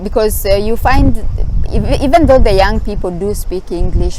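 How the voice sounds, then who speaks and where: A woman from Kenya, sitting on rocks outdoors and giving casual vlog advice in a hesitant way, pausing often with fillers. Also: lowish voice. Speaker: vlogger. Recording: outdoor recording with traffic noise.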